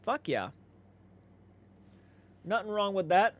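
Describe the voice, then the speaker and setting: Higher-pitched man chatting casually on a livestream. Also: sing-song, takes it slowly, fairly bright tone. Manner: laid-back, conversational, swears freely. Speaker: livestream host. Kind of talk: casual livestream chat, reading and answering viewer comments.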